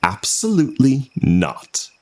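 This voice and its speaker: Man voicing snarky young woman, lifted pitch. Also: wildly swooping pitch, takes it slowly, mellow, exaggerated voice. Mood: sardonic. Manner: dry, snarky. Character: sardonic young woman, joking and defiant.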